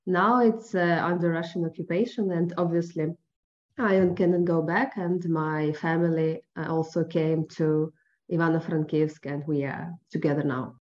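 Soft-spoken Ukrainian woman on a dull line. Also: sits low, fairly even intonation, normal speed. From eastern Ukraine. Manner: careful, searching for words with many fillers. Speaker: journalist guest. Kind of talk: interview on a phone line. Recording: dull phone-line audio.

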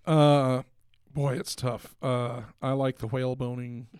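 A man co-hosting a podcast in casual, halting, wry chat. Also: mid-range voice, level tone, darkish tone, clear, resonant tone, no room sound, studio quality. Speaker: podcast co-host.

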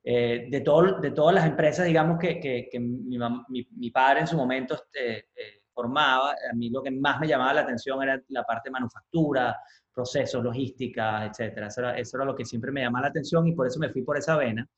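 A Venezuelan man with a mid-pitched voice speaks as an interview guest. He talks casually and haltingly, doubling back on words and dropping in English terms. The sound is roomy and slightly dull. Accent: Venezuelan Spanish. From Caracas, Venezuela. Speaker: interview guest, business professional. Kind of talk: casual conversational interview, personal storytelling.

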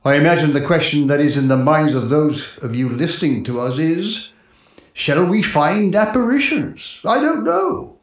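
An actor playing a grave, pompous ghost-hunting doctor with formal, halting speech in a 1940s radio drama. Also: male voice, on the high side, big pitch swings, distant mic. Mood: solemn. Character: grave, pompous male ghost hunter doctor, sensitive and foreboding. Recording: echoing 1940s radio recording, walkie-talkie from afar.

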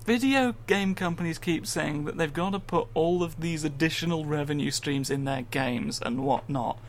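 A man's voice: on the high side, moderate pace, slight background noise. A man co-hosting a casual games podcast, sarcastic and swearing freely. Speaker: podcast co-host.

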